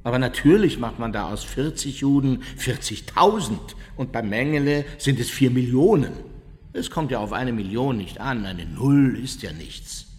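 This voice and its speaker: An actor playing an unrepentant, cynical former Nazi officer who speaks dismissively and justifies himself in a radio play. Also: male voice, mid-range voice, expressive, normal speed, a little mellow, slightly rough. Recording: reverberant hall, noisy ambience.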